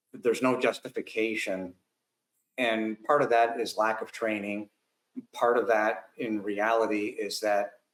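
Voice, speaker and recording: A man's voice: on the low side, fairly bright tone, echoey room. A man speaking as a guest, blunt and combative in his commentary. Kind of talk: talk-show commentary.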